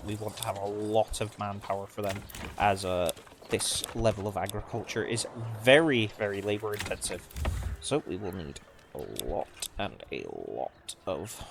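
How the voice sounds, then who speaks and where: A man giving casual, subdued gameplay commentary in halting phrases, his voice slightly off from a sore throat. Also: lowish voice. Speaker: video game let's player. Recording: clearly noisy background.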